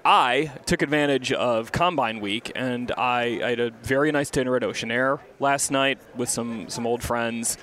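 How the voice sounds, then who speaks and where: Chatty, slightly hoarse male host. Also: mid-range voice, normal speed, hardly any pauses, fairly bright tone. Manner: chatty, storytelling, restarts often. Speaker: sports media co-host. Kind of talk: casual radio-style chat. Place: outdoors at a city event.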